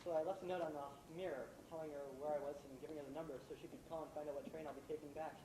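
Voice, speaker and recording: A polite young would-be playwright played by a man, quick in speech yet hesitant and wary. He sounds distant from the mic on an echoey stage. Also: on the high side, steady tone, natural voice. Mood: nervous, evasive. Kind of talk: live stage acting. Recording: distant, echoey, noisy amateur stage recording.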